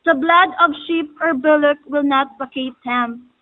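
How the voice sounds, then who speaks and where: A woman acts as a commanding female soothsayer with a high voice, declaiming solemn prophecy in formal drama lines. The recording is muffled and thin, like a phone. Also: a little slow. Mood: solemn, ominous. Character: solemn wise-woman astrologer, ominous and commanding. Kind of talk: stylized stage drama recital of prophecy.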